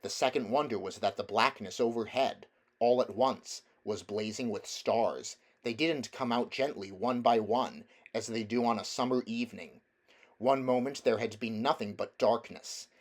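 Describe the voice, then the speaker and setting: Guy reading a story out loud, measured n deliberate, average pitch. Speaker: audiobook reader. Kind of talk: scripted story narration.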